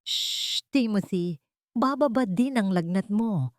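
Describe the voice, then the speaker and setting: A woman's voice: mid-range voice, animated, slow, no room sound, studio quality, voice pushed up. A woman voicing a dazed, confused husband in an audio drama, sounding strained and bewildered. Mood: strained calm.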